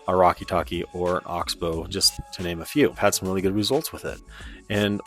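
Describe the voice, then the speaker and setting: A man giving a casual, informal product review in a conversational way. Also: low voice. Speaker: presenter of radio gear review.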